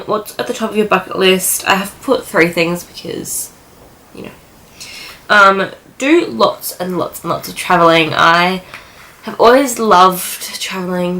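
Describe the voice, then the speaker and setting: A young woman talks in a lowish voice with a noticeable crackle of vocal fry. She grew up in New Zealand and Australia and chats informally as a vlogger, wandering through her thoughts and rewording as she goes. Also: bright, forceful tone. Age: young adult. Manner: rambling, frequent "like" and self-corrections. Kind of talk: casual sit-down vlog chat.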